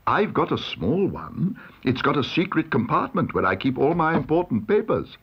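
A man's voice: on the high side, sing-song, normal speed, breathy edge, muffled recording, pitched above the performer's own voice, full character voice. A man voicing a polite, literal-minded, innocent young bear in a children's storybook reading.

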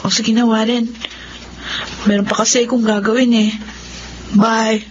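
Woman playing a gentle high-voiced young woman friend. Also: flat delivery, unhurried, slight background noise, lightly acted. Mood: helpful. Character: kind young woman secretly in love with friend. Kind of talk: Filipino radio romance drama acting. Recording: muffled audio under loud music.

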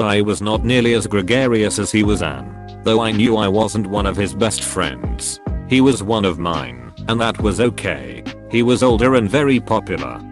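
A man narrating online posts in an even, steady scripted reading. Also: on the low side. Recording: faint music bed underneath. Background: soft background music.

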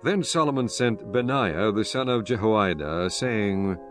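A man's voice: lowish voice, animated, a little slow. A man giving scripted narration for a dramatized Bible recording, telling the story in a solemn, formal manner. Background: soft background music.

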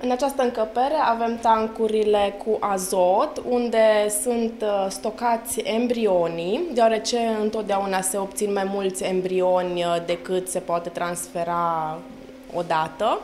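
A young woman with a Romanian accent, an embryologist, explaining her lab work in a friendly, matter-of-fact way with frequent pauses. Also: medium pitch, steady tone, darkish tone, slight background noise. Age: young adult. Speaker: clinic embryologist. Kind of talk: interview answers. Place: fertility lab, indoors. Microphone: handheld reporter mic.

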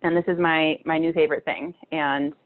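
A woman's voice: deep voice, fairly even intonation, moderate pace. A woman in her thirties giving a casual nutrition talk over slides, chatty, with many fillers and restarts, from a small room at home. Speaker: nutrition presenter. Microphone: video call webcam. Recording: tinny phone-like video call audio.

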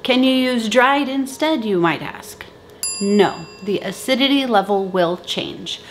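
A woman in her thirties talking to camera in her home kitchen, giving chatty, brisk canning instructions. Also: fairly high pitch, expressive, faint music behind. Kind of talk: casual how-to talk to camera. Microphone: camera at close range.

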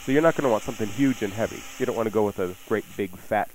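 A man's voice: lowish voice, racing, a little mellow, a little hiss or hum, poor audio. A man hosting a radio cooking show, casually explaining recipes in a jokey, chatty way. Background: music playing behind the voice.

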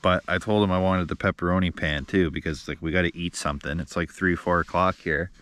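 A man with a very low voice talks casually on a frozen lake. He teases his friends, swears lightly and laughs a lot. He is caught on a camera mic outdoors. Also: fairly even intonation, brisk, a bit bright. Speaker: vlog host.

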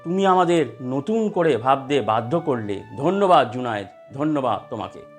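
A man voicing inquisitive townspeople and market vendors in an animated story, speaking emphatically. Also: mid-range voice, normal speed, faint music behind, lightly acted. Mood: respectful. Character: townspeople and rival vendors, questioning and marvelling.

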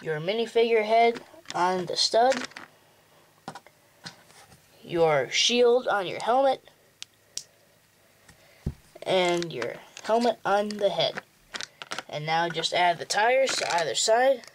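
A man's voice: high-pitched, lively intonation, slight background noise. A young male host giving a plain, step-by-step walkthrough for building a toy model. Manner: slow, plain instructional walkthrough. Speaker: tutorial host.

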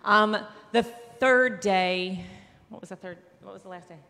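A woman's voice: mid-range voice, measured pace, vocal fry, sharp tone. Woman speaking in a reverberant church. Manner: rambling, self-correcting. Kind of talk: casual church announcement. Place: large church sanctuary.

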